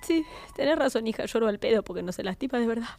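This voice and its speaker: Sad tender mom in an audio drama, talks quick, music behind her. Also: fairly high pitch, lively intonation. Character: sad, tender mother of a young child.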